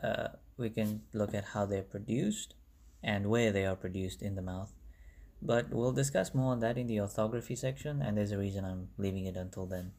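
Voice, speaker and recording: A man's voice: lowish voice, moderate pace, warm tone. A young man with a South African accent, native Bangla speaker, talking through a language lesson in a chatty, self-correcting way, recorded on a phone. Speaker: language explainer. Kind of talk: casual narrated lesson over slides.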